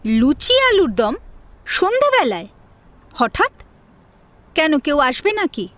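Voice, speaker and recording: A woman's voice: sits high, wildly swooping pitch, slow pace, fairly bright tone, no echo, full character voice. A woman playing a stern, irritable mother in an audio story, with a curt, scolding manner. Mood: puzzled. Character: stern, irritable mother, cold to one daughter. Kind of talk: Bengali audio story acting.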